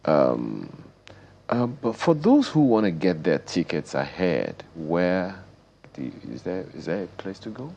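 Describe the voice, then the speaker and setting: Low-pitched, lively Nigerian interviewer. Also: unhurried, gravelly. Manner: probing, repeats details back to confirm. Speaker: interviewer, TV host. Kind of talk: casual broadcast interview.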